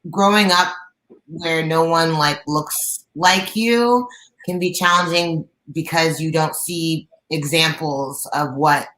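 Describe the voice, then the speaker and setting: A young woman with a low, raspy alto voice, a guest singer talking openly on a video call from her living room, with many fillers and restarts. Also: slow pace, a bit bright, roomy sound, poor audio. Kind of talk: casual interview chat. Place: bright living room at home.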